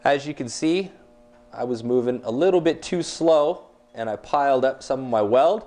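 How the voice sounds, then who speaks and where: A man in his thirties giving a casual demonstration of a welding machine in a workshop, talking in a relaxed, plain-spoken way with fillers. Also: mid-range voice, normal speed, soft background music.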